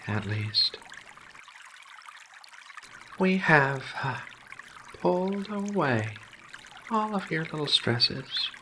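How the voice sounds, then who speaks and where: A performer voicing a gentle, affectionate furry female alien researcher in an ASMR roleplay, soft-spoken and soothing, with unhurried pauses. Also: mid-range voice, noisy background, heavily acted.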